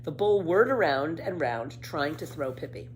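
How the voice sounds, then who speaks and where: A woman reading a children's story aloud with dramatic, emphatic, expressive narration. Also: low-pitched, normal speed. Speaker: storybook reader. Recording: faint background noise.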